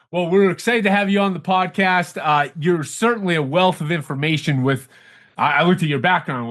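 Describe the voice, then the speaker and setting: A man hosting a podcast, introducing a guest in a casual, halting way with some stumbles. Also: on the high side, fairly bright tone. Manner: stumbling, filler-heavy intros with restarts. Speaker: podcast host.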